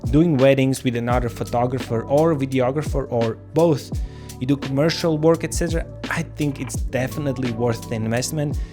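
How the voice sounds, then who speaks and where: A man hosting a product review, talking viewers through the details in a conversational, explanatory way. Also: medium pitch. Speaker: gear reviewer and filmmaker, channel host. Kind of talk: casual scripted product review to camera. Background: background music.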